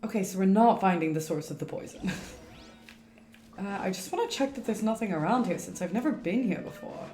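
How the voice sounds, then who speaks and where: A woman gives casual playthrough commentary as a game streamer. She is chatty and rambling, thinking aloud in a medium-pitched voice, in an echoey room with faint game music underneath. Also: expressive, a little fast, mellow.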